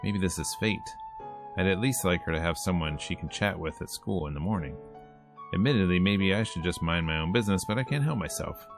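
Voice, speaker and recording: Low-voiced man streaming a game. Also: fairly quick. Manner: joking, acts out lines as he reads. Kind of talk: let's play, reading game text aloud with casual commentary. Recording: game music playing behind. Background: visual novel music and character voices.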